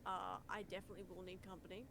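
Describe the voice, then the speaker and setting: A woman's voice: fairly low pitch, level tone, rapid-fire, noisy background. A woman hosting a live gaming stream, chatting informally and playfully with friends, with some swearing. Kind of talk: casual gaming stream chat.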